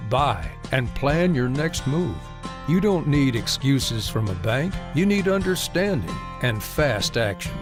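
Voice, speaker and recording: A man narrating a scripted radio commercial as the announcer. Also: mid-range voice. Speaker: advertisement announcer. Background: plucked guitar music.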